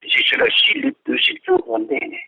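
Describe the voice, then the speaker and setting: A man speaking conversationally as a participant on a telephone conference call. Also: brisk. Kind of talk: conversational speech on a group call. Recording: dull, lo-fi telephone conference line.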